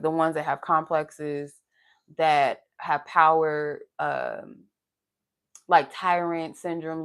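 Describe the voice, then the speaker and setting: A woman's voice: on the low side, creaky. A young adult woman with a soothing, pleasant voice, chatting casually and candidly with profanity and laughter on a live stream as a tarot reader. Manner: laughs while talking.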